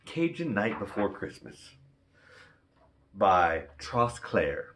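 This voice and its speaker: A man talking casually and gently as he introduces and comments on a storybook he is reading aloud. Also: on the low side, sing-song, slow, slight background noise. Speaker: storybook reader.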